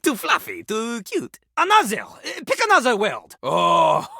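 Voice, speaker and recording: Here is a living toilet from a video game, boastful and scornful, who fancies himself a rap genius. The male voice sits high and leaps around theatrically, full of pomp. It is recorded dry and close, with faint music underneath. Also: huge pitch swings, takes it slowly, creaky, pushed and bright, husky, no echo, exaggerated voice. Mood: smug, flustered. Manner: pompous, talks of himself in the third person.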